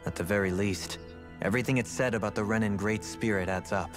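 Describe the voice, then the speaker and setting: An actor playing a composed, reflective scholar in a video game party, with refined, deliberate phrasing. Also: male voice, lowish voice, steady tone, close to an everyday voice. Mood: thoughtful. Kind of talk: scripted video game dialogue. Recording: clear background music under dialogue.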